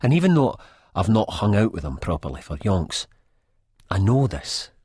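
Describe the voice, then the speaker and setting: A man's voice: lowish voice, sing-song, normal speed, a little mellow, slightly rough, no echo, clean studio sound. A man with a Scottish accent playing a wry, reflective, disillusioned first-person narrator in an audiobook. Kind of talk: first-person literary narration, character acting.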